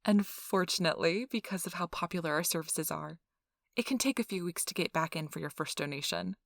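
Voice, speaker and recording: A woman's voice: lowish voice, fairly quick, vocal fry, no echo, clean studio sound. A woman playing a chatty, officious and reassuring interviewer at a vampire blood donation center in an ASMR role-play. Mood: polite, businesslike.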